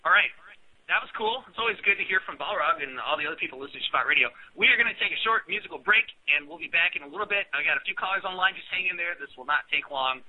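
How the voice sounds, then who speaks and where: A man hosting a call-in radio show in a chatty, loose way, breaking into laughs between questions. His voice sits a touch high and swings around a lot, with a husky edge. The audio is narrow and phone-like. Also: animated, racing, slight background noise. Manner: chatty, laughs often, filler-heavy.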